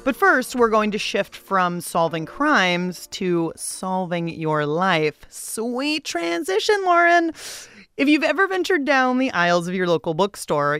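A woman's voice: medium pitch, fairly bright tone, clear, resonant tone, no echo, clean studio sound. A woman with an American accent hosting a radio show, reading scripted narration in a playful, conversational way. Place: dry studio.

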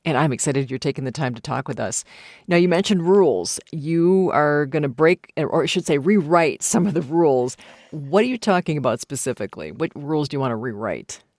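A woman's voice: deep voice, lively intonation, fairly quick, a little mellow, no room sound, studio quality. A woman with an American accent, hosting a broadcast interview. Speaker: radio host interviewing a guest.

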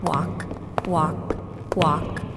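A woman's voice: deep voice, steady tone, very slow, very noisy, heavily acted. A woman playing a bold, scheming, playful leader in a children's audio skit, with a bossy, coaxing manner. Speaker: kids' podcast host in comic skit. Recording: loud music bed under the voice.

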